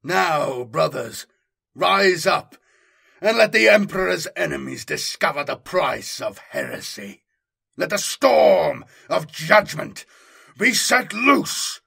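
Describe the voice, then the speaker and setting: A male narrator performs a fanatical war chaplain in a science fiction audiobook. His fiery, commanding sermon swings widely in pitch, rising high above an everyday register with solemn, drawn-out emphasis. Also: very animated, vocal fry, pushed and bright, slightly rough, full character voice. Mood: fierce, exhorting. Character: zealous armoured warrior chaplain preaching before battle.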